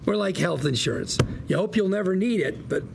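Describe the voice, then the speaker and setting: Glib, gravel-edged small-time lawyer. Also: male voice, sits high, very animated, exaggerated voice. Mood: persuasive. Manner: fast-talking salesman patter with hesitations. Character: glib, hard-pitching male small-time lawyer, eager and slippery. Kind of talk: acted dialogue at a table read. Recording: noticeable background room noise.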